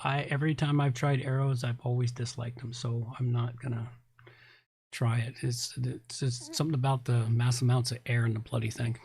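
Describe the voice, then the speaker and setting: A man's voice: medium pitch, little pitch movement, normal speed, vocal fry, a little mellow, husky. A man co-hosting a podcast, chatting casually before the show in a blunt, grumbling, rambling way. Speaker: podcast co-host. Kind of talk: casual pre-show chat.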